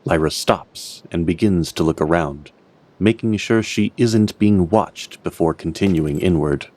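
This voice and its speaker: A man narrating a scripted fantasy story in a measured, literary way. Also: sits low, darkish tone.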